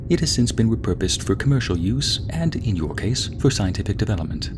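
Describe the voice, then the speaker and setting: Man acting as soothing male spaceship AI, American accent, low pitch, formal and measured, sci-fi ASMR roleplay, clear rumbling ship ambience. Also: sing-song. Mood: courteous, helpful. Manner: polite, measured, formal announcements with gentle explanations. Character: courteous, soothing male spaceship AI assistant, eager fact-sharer. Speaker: ship's AI guide and narrator. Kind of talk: ASMR roleplay, scripted narration. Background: low engine rumble ambience.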